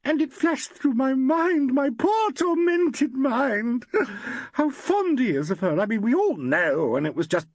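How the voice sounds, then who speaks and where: A man's voice: extremely high pitch, very animated, a little slow, dry recording, voice pushed up, exaggerated voice. A man voicing a hysterical young actress who wails and sobs. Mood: tearful. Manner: sobbing, wailing.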